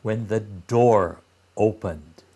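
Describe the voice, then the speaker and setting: A man reading a fairy tale aloud for young children in deliberate phrases, with frequent pauses and expressive emphasis. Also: fairly low pitch, breathy edge.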